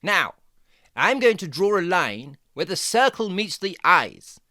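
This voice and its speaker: A performer voicing a jaunty, fussy fox who gives playful, step-by-step drawing lessons for children in a theatrical, deliberate, encouraging way. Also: mid-range voice, sharp tone, no room sound, clean studio sound, exaggerated voice. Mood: playfully bossy. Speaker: presenter of children's drawing tutorial.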